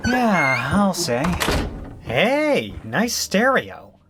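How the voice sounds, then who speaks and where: A woman's voice: lowish voice, wildly swooping pitch, drawn-out words, a bit bright, exaggerated voice. A woman playing an impressed, chatty male party guest in an audio dramedy, casual and admiring.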